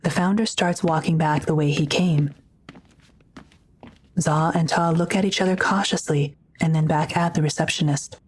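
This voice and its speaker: A woman narrates an audio drama from a script. Her voice sits very low for a woman and has a husky, breathy texture. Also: fairly even intonation, normal speed, rough recording.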